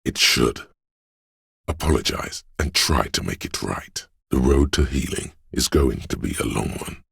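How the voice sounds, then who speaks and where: A super low husky voice of willpower for a game, stern mentor in your head, solemn, gravelly. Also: male voice, measured pace, a bit bright, no echo, clean studio sound, exaggerated voice. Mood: steadfast encouragement. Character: inner voice of willpower, steadfast, stern yet encouraging mentor. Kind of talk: scripted game narration, second-person counsel.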